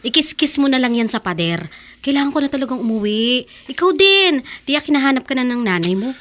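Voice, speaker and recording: A teenage schoolgirl in a radio drama. Her voice is high and bright, with a playful, evasive manner. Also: big pitch swings, fairly quick. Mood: teasing, firm. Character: flustered, teasing teenage schoolgirl. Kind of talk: Filipino radio drama acting.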